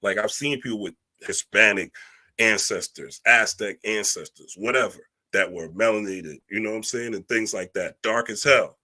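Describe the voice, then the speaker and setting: A man's voice: fairly low pitch, normal speed, sharp tone. A man giving a casual spiritual monologue, emphatic and preachy, using streetwise slang and checking in with "right?" often. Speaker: spiritual reader and speaker.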